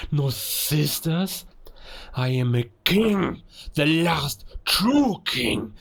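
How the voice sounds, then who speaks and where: A man playing a menacing, grandiose werewolf king, speaking theatrically in a cinematic audio roleplay monologue, contemptuous and deliberate. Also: fairly high pitch, vocal fry, husky, exaggerated voice. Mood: proud, intense. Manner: slow, theatrical, deliberate, with hushed dramatic pauses.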